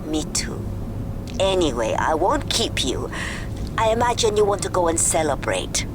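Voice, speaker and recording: A woman's voice: on the low side, big pitch swings, background noise. A woman playing a proud, affectionate middle-aged mother in a video game, speaking tenderly. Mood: wistful, loving. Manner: tender, unhurried. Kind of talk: naturalistic video game cutscene acting.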